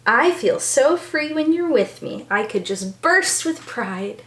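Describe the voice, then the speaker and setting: An American woman reads to young children in a high, very animated voice. She takes her time and sounds bubbly and enthusiastic, like a teacher hosting storytime. The room adds a clear echo. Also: slow pace. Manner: bubbly, enthusiastic, exaggerated child-directed delivery.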